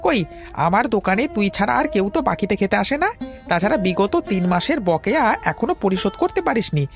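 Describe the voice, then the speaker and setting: A man's voice: high-pitched, big pitch swings, quick pace, gravelly, faint music behind, full character voice. A man playing a grumpy, sarcastic village tea stall keeper in an audio drama, scolding in an exasperated way. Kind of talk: Bengali audio story drama acting.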